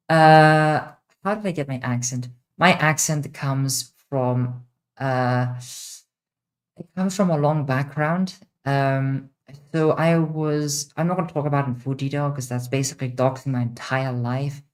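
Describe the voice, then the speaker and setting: A young woman with a mixed international accent, answering chat questions on a casual livestream, blunt and quick to swear. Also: rumbling low, darkish tone. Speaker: streamer.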